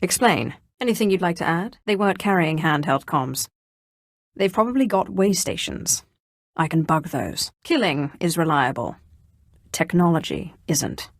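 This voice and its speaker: A menacing young Sith sorceress from a video game, pitched on the low side for a female voice. She is cold and commanding, speaking in clipped, imperious phrases with a dry sardonic edge. The recording is close and dry, with no room sound. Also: dry recording. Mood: cool, probing. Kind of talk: scripted video game dialogue.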